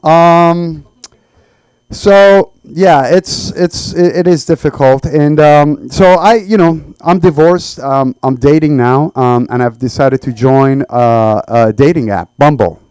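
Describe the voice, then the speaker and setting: A man from Iran performing a stand-up set in a halting, conversational way. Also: fairly high pitch, a little mellow, clear, resonant tone, dry recording, rough recording. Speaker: stand-up comedian. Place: small comedy open mic.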